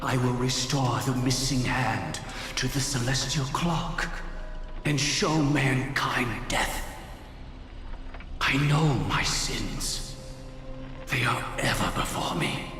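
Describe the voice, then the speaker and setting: An actor playing a solemn, brooding man in a fantasy video game, speaking with grave, deliberate theatrical delivery. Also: medium pitch, fairly bright tone. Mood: resolute. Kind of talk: dramatic video game voice acting. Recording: echoey hall reverb, music behind.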